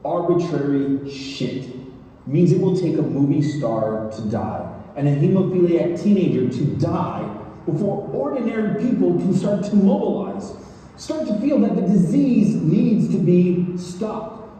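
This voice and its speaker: A man giving an earnest, emphatic dramatic reading on a theatre stage, speaking deliberately. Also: high-pitched, animated, mellow. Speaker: stage performer. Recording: echoey theatre hall, distant mic, noise.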